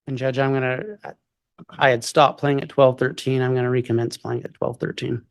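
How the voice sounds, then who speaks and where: A man's voice: medium pitch, flat delivery, a little fast, creaky, clear, resonant tone, no echo, studio quality. A man speaking as a trial attorney, formally addressing a courtroom.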